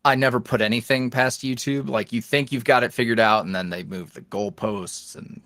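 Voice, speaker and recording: A man talking casually as a podcast guest, in a rambling, animated, self-deprecating way. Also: medium pitch, moderate pace, no room sound, clean studio sound. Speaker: podcast guest, ASMR roleplay voice creator. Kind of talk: casual interview chat.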